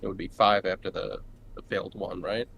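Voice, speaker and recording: A man's voice: low voice, little pitch movement, a little fast, creaky, fairly bright tone, slight background noise. A man chatting casually as a player at a tabletop roleplaying game.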